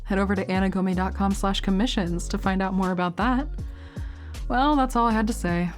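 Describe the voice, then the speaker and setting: A woman's voice: mid-range voice, fairly quick, faint music behind. A woman hosting an audio channel, giving an upbeat, informal outro in a casual style.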